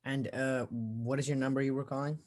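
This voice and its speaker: A woman playing a weary, placating police officer in a radio drama, husky-voiced, offhand and patronizing. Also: a bass voice, fairly even intonation, brisk, darkish tone. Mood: bored. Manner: offhand, patronizing, brushing the caller off. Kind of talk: 1940s radio drama acting.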